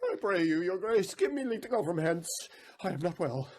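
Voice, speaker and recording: A man acting a defeated, embittered old moneylender in a Shakespearean audio play, strained and forceful. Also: sits high, very animated, fairly quick. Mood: anguished, defeated.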